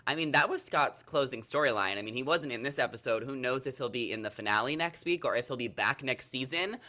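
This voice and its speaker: A man's voice: medium pitch, lively intonation, quick pace, sharp tone. A man hosting a casual entertainment recap, chatting conversationally and steering the discussion. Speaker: talk show host.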